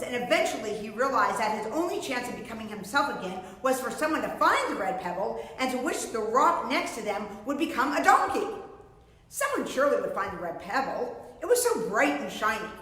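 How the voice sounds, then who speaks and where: A woman's voice: a little high, bright, forceful tone. A middle-aged woman reading a picture book aloud to young children, theatrical and explanatory. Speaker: children's storyteller. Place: indoors before a painted storybook backdrop. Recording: very echoey, reverberant room.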